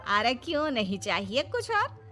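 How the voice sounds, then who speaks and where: Woman acting a nagging, high-voiced mother-in-law. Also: big pitch swings, a little slow, bright, forceful tone, faint music behind, heavily acted. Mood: scornful. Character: scornful middle-aged mother-in-law, nagging and grumbling.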